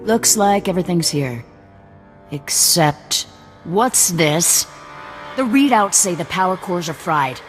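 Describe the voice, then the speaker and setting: Tough rebel boss, real low voice, bossy and suspicious, echoey w/ loud game music. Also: female voice, animated, slow pace. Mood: stern. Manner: curt, distrustful, commanding. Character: hard-nosed female resistance leader.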